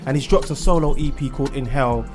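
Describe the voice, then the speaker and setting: Guy interviewing in a studio, chatty, talks fast, bit of music under it. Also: mid-range voice. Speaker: interviewer and host.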